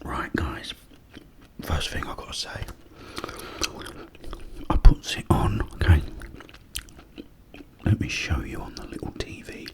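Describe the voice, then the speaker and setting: A British man speaks in a close, hushed whisper. His delivery is very slow and low, with pauses between short phrases. He rambles casually in the style of an ASMR creator telling a story. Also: very animated. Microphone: close microphone.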